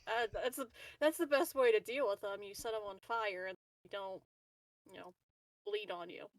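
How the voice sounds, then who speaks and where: A woman's voice: medium pitch, normal speed, dry recording, distant mic, rough recording. A woman playing a tabletop game, chatting casually in a wry, loose, slangy way.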